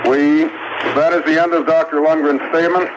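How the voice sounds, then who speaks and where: Man, slightly high voice, formal halting statement, hospital spokesman, muffled and noisy archival recording. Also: level tone. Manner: halting, careful phrasing. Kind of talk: formal medical statement to press.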